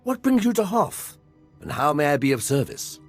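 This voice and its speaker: Casting: eager, odd military scholar for a game, highish voice, very animated and musing. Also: male voice, normal speed, creaky, husky, soft background music, exaggerated voice. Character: eccentric, eager male military archaeologist officer. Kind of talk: game voice acting.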